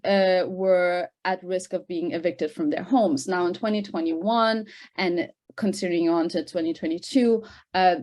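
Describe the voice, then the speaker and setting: A woman speaking as a researcher on a panel, explaining in a semi-formal way, with halting repetitions and stressed key words. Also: on the low side, darkish tone. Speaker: panel speaker, researcher presenting report findings. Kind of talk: semi-formal spoken presentation at an online discussion.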